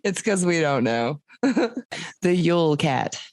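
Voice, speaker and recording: Woman, thick Southern US accent, slow, low, dry close sound, joking co-host. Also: expressive, darkish tone, dry recording. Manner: slow, joking, short interjections. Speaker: podcast co-host. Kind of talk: casual chat.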